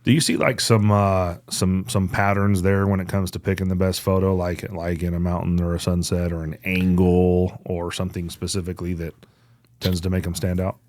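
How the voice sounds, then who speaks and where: A man with a low, deep voice talks as a podcast co-host. He sounds informal and conversational, and the recording is dry and free of room echo. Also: level tone, moderate pace, a little mellow. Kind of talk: casual podcast chat.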